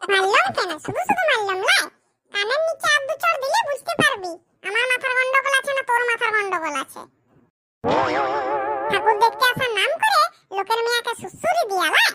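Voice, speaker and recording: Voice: very high voice, sing-song, a little fast, heavy background noise. An actor voicing a cheeky, bickering young villager in a comedy cartoon. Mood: indignant. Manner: teasing, scolding, bickering. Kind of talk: comic cartoon dialogue in village dialect.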